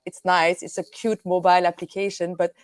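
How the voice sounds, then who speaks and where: A woman's voice: fairly low pitch, level tone, a little fast, smooth, no room sound. A woman in her thirties from Belgium, a native French speaker, talking energetically as a guest in a live video interview, laughing often and using many fillers. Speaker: guest, tech company executive.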